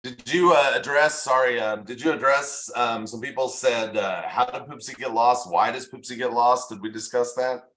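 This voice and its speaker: A man's voice: medium pitch, normal speed, a bit bright. A man giving informal thanks as an event organizer, speaking earnestly and a bit hesitantly. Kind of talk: informal thank-you remarks at online event. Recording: roomy, echoing sound.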